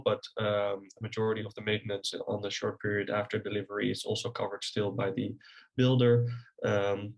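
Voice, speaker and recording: A man's voice: fairly low pitch, gravelly, low-quality recording. A young man with a Dutch accent presenting a webinar in a chatty way, full of ums and restarts. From Netherlands. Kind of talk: casual presentation.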